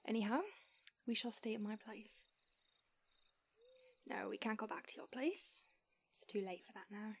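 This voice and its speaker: A woman voicing a calm, centuries-old female vampire mentor in an audio roleplay, speaking in a soft, hushed whisper. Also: mid-range voice, measured pace, vocal fry, pauses often, husky. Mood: firm.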